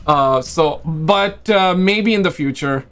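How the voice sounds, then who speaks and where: Higher-pitched man chatting casually on a livestream. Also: slow, a bit bright, soft background music. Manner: slangy, rambling, repeats phrases. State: losing his voice. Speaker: livestream host and video creator. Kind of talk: casual livestream chat with viewers.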